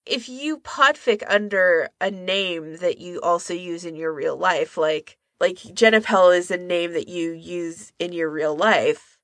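A woman's voice: on the low side, measured pace, no echo, studio quality. A woman podcast co-host in casual conversation, talking informally and thinking aloud as she goes. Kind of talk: casual chat about fan hobbies.

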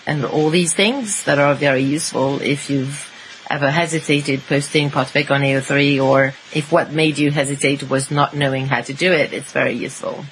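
A woman's voice: low voice, steady tone, moderate pace, a little hiss or hum, rough recording. A woman co-hosting a fan podcast, presenting items in a conversational way. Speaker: podcast co-host.

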